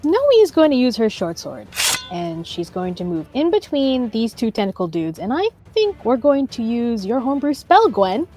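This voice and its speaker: A woman's voice: a little high, sing-song, normal speed, warm tone. A woman describing her character's actions as a player in a tabletop fantasy game. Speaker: tabletop role-play player. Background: background music.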